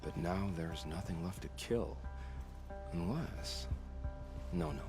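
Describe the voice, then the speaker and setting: A man's voice: sits low, takes it slowly, over music. An actor playing a suave, bloodthirsty hunter in a video game, poetic and dramatic, savoring his phrases. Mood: wistful. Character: suave, bloodthirsty male hunter, wistful and theatrical. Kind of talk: video game cutscene acting.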